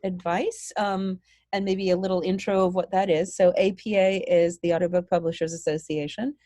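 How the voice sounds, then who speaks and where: A woman's voice: on the low side, level tone, moderate pace, warm tone, no echo. A woman hosting a live video Q&A, chatty and encouraging as she reads out questions. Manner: chatty, encouraging, laughs between thoughts. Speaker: host.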